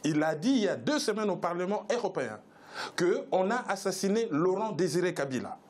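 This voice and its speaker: Emphatic high-pitched man, animated delivery. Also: a little fast. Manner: emphatic, rhetorical, uses repetition. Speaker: debate panel guest. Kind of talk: political debate commentary.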